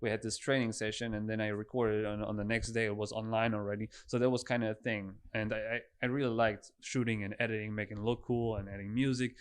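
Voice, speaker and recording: Male podcast guest, German accent, low clear voice, relaxed conversational storyteller. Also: fast, hardly any pauses.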